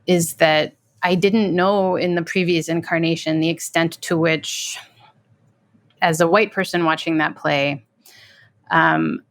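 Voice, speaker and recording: Female commentator, very low pitch, very slow and careful, in a dry close recording. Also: fairly bright tone, dry recording. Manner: deliberate, carefully worded. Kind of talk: measured, reflective commentary.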